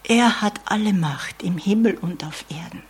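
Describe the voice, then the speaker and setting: A woman's voice: mid-range voice, normal speed, breathy edge. A woman preaching a sermon in an earnest tone, often adding "ja?" asides and dropping to a whisper.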